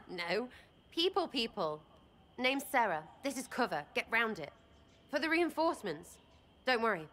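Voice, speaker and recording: A woman's voice: a little high, sing-song, measured pace, pauses often, fairly bright tone, full character voice. A woman voicing a brash, irreverent young rogue in a video game, with an English accent and a blunt, slangy, rambling manner. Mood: brash, amused.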